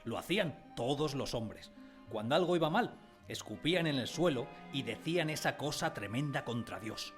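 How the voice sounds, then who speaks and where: A man playing a narrator who fondly recalls his village schooldays, in expressive scripted radio drama storytelling. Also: mid-range voice, normal speed, soft background music, close to an everyday voice. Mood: reminiscent.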